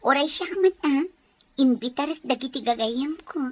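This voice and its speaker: Casting: actress as generous married homemaker, high-pitched, chatty, Ilocano accent, audio family drama. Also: female voice, normal speed, no echo, natural voice. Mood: casual, agreeable. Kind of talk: acted family radio-style drama dialogue.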